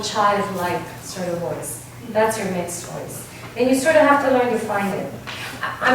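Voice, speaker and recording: A woman from Argentina coaching a choir in a workshop, lively and encouraging, often breaking into song to demonstrate. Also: medium pitch. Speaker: vocal coach. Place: large echoey rehearsal hall. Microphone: camera from far off. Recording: distant, roomy, noisy hall recording.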